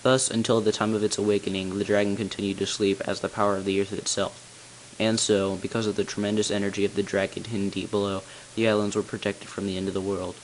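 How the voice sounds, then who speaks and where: A man playing a tall, solemn bell ringer who reveals long-kept secrets in grave, deliberate narration for a game. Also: on the low side, little pitch movement, natural voice. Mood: solemn, explanatory. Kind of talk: scripted character narration, amateur game voice-over. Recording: hissy, noisy amateur home recording.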